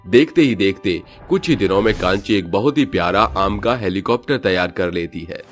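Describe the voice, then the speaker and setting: Brief: male narrator, lowish voice, steady storytelling, faint music under. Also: normal speed.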